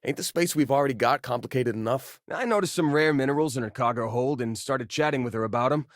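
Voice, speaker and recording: A man's voice: mid-range voice, quick pace, no echo, studio quality, close to an everyday voice. An actor playing an easygoing, joking young male space miner in a video game, talking in casual, wisecracking slang. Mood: laid-back. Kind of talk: video game voice lines, conversational.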